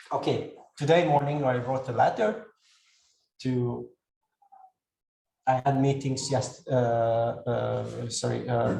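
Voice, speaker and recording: A Hungarian-born man speaks slowly to a live audience, halting and restarting often. His voice has a gravelly fry. The room is echoey, with faint noise underneath. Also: mid-range voice, warm tone. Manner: halting, many fillers and restarts. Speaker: speaker giving a talk on AI tools. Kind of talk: presentation to an audience.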